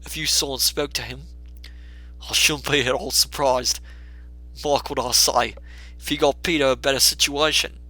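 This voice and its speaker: A man's voice: mid-range voice, steady tone, moderate pace, pauses often, pushed and bright, no echo, rough recording. A man voicing a kindly, grieving Victorian father and humble clerk, earnest and emotional, in a storybook reading. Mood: heartfelt, admiring.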